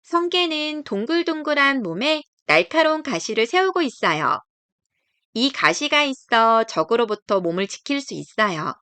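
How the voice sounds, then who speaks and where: A woman's voice: fairly high pitch, very animated, normal speed, sharp tone, clean tone, no room sound. A woman narrating a scripted children's nature book in a careful, explanatory read-aloud way. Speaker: storybook narrator.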